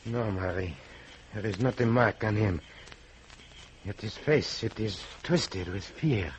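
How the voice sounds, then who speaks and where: An actor playing an earnest backwoods guide with a French accent and formal phrasing, in an old-time radio drama. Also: male voice, lowish voice, slow. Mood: grave. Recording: noisy vintage radio recording.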